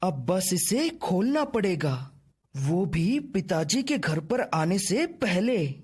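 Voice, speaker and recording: A woman's voice: on the low side, big pitch swings, takes it slowly, a little mellow, slightly rough, exaggerated voice. A woman voicing a lazy, greedy young son in a children's cartoon tale, with eager, theatrical delivery. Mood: eager, scheming. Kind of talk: cartoon story voice acting. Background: music.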